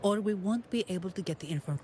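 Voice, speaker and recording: An actress playing a level-headed investigator in a video game, explaining things in a composed, matter-of-fact way. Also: female voice, medium pitch, fast talker, background noise, lightly acted. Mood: composed, thoughtful. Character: level-headed female investigator guiding a foreign guest.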